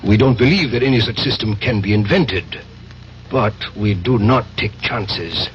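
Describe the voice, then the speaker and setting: A man's voice: lowish voice, close to an everyday voice. Menacing Soviet agent male actor, methodical and commanding, radio drama sound with muffled tone and faint noise. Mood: coldly menacing. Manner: menacing, deliberate, authoritative. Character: cold, methodical Russian secret police villain. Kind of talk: 1970s radio drama acting. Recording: muffled, noisy old radio recording.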